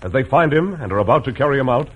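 A man's voice: mid-range voice, expressive, very fast, slightly rough. A man with an American accent narrating a radio adventure serial as announcer, in a dramatic scripted old-time style. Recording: muffled old radio recording. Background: music.

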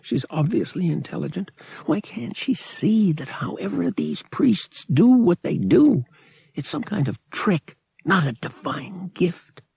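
A man's voice: sits high, lively intonation, measured pace, creaky, a little mellow, slightly rough, no room sound, voice pushed up, full character voice. A man voicing a roguish young male pilot in an audiobook, casual and coaxing, with a sceptical streak. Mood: sceptical, private. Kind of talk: audiobook character acting.

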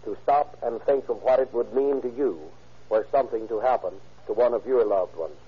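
A man's voice: mid-range voice, normal speed, slightly rough, close to an everyday voice. A man playing a police chief, making a solemn, earnest appeal in a 1940s radio address. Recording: muffled old radio.

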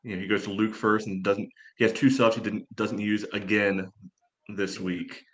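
A man's voice: on the low side, flat delivery, normal speed, fairly bright tone. An American man talking casually through sports analysis as a podcast co-host.

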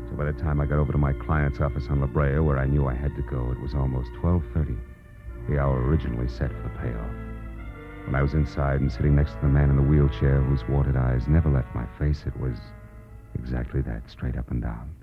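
Deep-voiced hard-boiled American private eye. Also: male voice. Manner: terse, clipped, hard-boiled delivery. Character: hard-boiled male private detective, world-weary and blunt. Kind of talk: 1940s radio acting, first-person noir narration. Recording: muffled old radio recording with music.

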